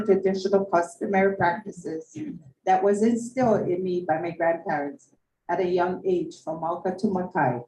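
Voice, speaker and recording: A woman from Hawaii giving public testimony at a planning meeting, reading out statutes in an earnest, steady way. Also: fairly low pitch, slight background noise. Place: meeting hall. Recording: roomy hall, distant mic.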